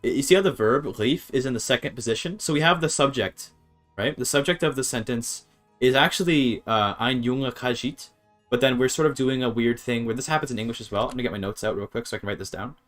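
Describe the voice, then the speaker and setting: A man's voice: mid-range voice, fast talker, a bit bright, soft background music. A man casually streaming a game, thinking aloud as he reads his chat and works through grammar. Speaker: streamer learning a language. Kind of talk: casual live-stream commentary.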